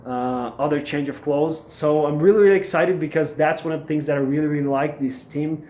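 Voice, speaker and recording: A man's voice: fairly high pitch, steady tone, brisk, a little mellow. A young man from Brazil with a Brazilian Portuguese accent, vlogging casually as an MBA student in English, his second language, with lots of fillers and restarts. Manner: hesitant, many fillers and restarts. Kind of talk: casual vlog monologue. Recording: echoey room, faint background noise.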